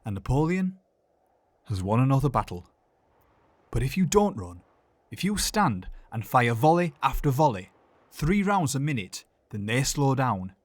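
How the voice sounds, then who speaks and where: A man's voice: mid-range voice, big pitch swings, a little slow, no echo. A man playing a steely soldier rousing his men, with a Yorkshire accent, in period war drama style.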